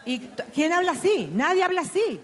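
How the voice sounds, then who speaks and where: A woman with a high voice speaks bluntly and with strong opinions on a panel. Her voice carries the long reverb of a large hall. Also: sing-song, brisk. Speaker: dubbing panelist. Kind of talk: panel discussion.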